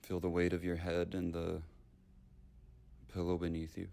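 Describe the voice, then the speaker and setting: A man leading a guided meditation in short, unhurried, soothing phrases with long gaps between them. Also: sits low, completely flat delivery, gravelly, dark tone.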